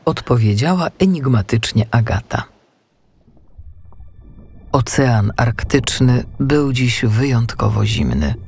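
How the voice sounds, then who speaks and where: A man narrating a children's storybook in an expressive, unhurried storytelling manner. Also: mid-range voice, vocal fry, slightly rough. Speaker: audiobook narrator.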